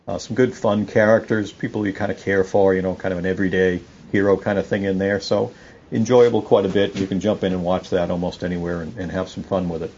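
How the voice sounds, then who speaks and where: Man, deep voice, casual recommending commentary, lo-fi muffled sound. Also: a little mellow. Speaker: listener calling in with feedback, fellow podcaster. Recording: dull lo-fi audio with faint noise.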